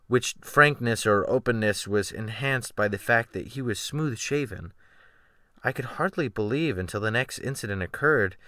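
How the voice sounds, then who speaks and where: A man's voice: medium pitch, pushed and bright, no echo. A man giving a dramatic, theatrical reading of literary narration, with strong swells of emphasis, close to the microphone. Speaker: audiobook narrator. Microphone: close USB microphone.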